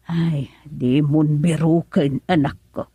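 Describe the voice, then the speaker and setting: A woman's voice: low-pitched, steady tone, takes it slowly, breathy edge, no echo, highs cut off, exaggerated voice. A woman with an Ilocano accent playing a worried middle-aged mother in a radio drama, pleading and anxious. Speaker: mother character.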